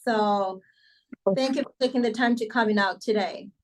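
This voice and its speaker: A middle-aged woman with a Ugandan accent gives an informal testimonial at an online seminar. Her voice sits at an average female pitch, and she talks in a chatty way, addressing her listeners directly. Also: moderate pace, rough recording. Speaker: speaker introducing a coach at an online financial seminar. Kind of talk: informal testimonial and welcome speech.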